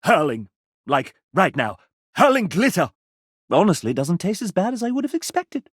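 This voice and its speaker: A man voicing a sassy, arch gentleman who narrates a dating video game in a theatrical, mock-genteel and sardonic manner. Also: high-pitched, big pitch swings, moderate pace, slightly rough, no echo, clean studio sound, heavily acted. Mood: sassy, wry.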